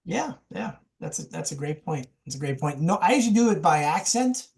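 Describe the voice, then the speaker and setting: A man's voice: fairly high pitch, lively intonation, brisk, gravelly, a little mellow. A man from Connecticut hosting a casual video call discussion, enthusiastic and often repeating his phrases. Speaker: host.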